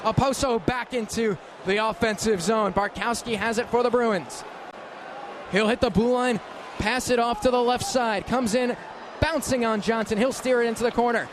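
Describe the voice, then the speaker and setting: A man calling live ice hockey play-by-play on the radio in an urgent, clipped, excitable manner. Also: very high-pitched, moderate pace. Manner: excitable, urgent, clipped running description of action. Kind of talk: live sports play-by-play commentary. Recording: radio broadcast with loud arena noise. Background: loud ambience of a hockey arena.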